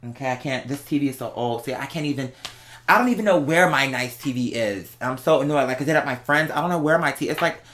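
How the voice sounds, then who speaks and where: Casting: young adult American vlogger, bright forceful voice in a middle register, slangy confessional chat, candid and emphatic. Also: mid-range voice, fast talker. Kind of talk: casual confessional vlog chat to followers.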